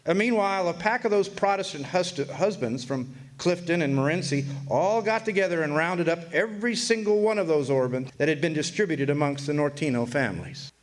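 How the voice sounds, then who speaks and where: A man's voice: fairly high pitch, a little fast, few pauses, lightly acted. A man acting a wry Old West storyteller, narrating a radio drama in folksy first-person reminiscence.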